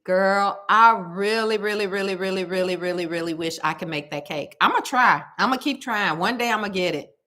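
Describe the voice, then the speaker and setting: A woman with a loud, carrying voice, chatting casually to her viewers and telling stories with lots of emphatic repetition. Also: mid-range voice, normal speed. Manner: animated storytelling, repeats words for emphasis. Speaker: cooking vlogger.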